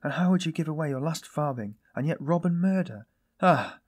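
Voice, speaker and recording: A man voicing an anguished young woman, pitched high. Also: animated, moderate pace, a little mellow, close to an everyday voice. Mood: shocked, agitated. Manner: anguished, naive, exclamatory. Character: distraught, timid young woman, pleading and bewildered. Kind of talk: dramatised audiobook dialogue.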